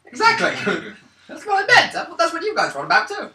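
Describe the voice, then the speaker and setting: A man's voice: very high voice, wildly swooping pitch, sharp tone. A man playing a tabletop game, chatting and joking playfully with his friends. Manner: playful, quick to laugh. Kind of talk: casual, joking chat. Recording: echoey room, distant mic, clear noise.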